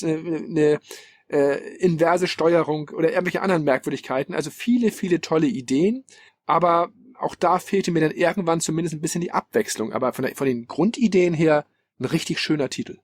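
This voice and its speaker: A man's voice: on the high side, no room sound, studio quality. A man hosting a casual podcast, talking in an opinionated, emphatic, colloquial way. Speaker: podcast host presenting a ranking. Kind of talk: casual retro-gaming podcast talk.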